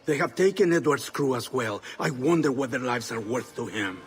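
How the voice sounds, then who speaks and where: A man's voice: medium pitch, animated, normal speed, faint music behind, natural voice. An actor playing a stern, middle-aged mentor of an assassin brotherhood in a video game, formal and severe in his phrasing. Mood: stern, reproachful. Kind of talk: video game cutscene acting. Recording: faint ambient noise behind dialogue.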